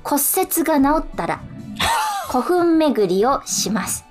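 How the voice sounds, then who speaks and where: A woman's voice: high-pitched, huge pitch swings, slow pace. A woman in her twenties co-hosting a radio show, chatting casually and politely. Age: 20s. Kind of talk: casual radio chat and reading listener letters. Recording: radio talk with faint background music. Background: faint music.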